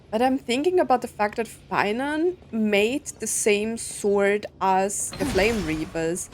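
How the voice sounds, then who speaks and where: A woman's voice: on the high side, darkish tone, slight background noise. A woman doing casual let's-play commentary, musing aloud in a conversational way as she plays. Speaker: gaming content creator.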